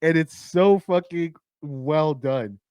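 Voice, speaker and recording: A man hosting a movie countdown, his voice raspy and tired, rambling casually with lots of swearing, fillers and restarts. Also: a little high, slow, darkish tone, no echo, clean studio sound. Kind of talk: casual rambling film talk.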